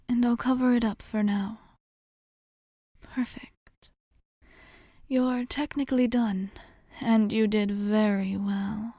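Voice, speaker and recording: A woman playing a calm, attentive vampire bartender in an ASMR roleplay, with soft, whispered, formal and reassuring speech. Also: on the high side, no room sound, clean studio sound. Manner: slow, soothing, formal and reassuring.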